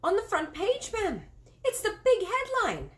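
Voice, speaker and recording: A woman voicing a flustered, deferential middle-aged maid who stammers and speaks high and breathless. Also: huge pitch swings, a little slow, fairly bright tone, exaggerated voice.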